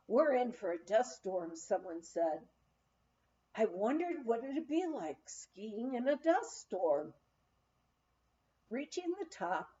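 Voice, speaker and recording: A woman reading a first-person memoir aloud in a measured storytelling manner with expressive emphasis, on a dull, slightly muffled recording. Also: on the high side. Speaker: audiobook reader of a memoir.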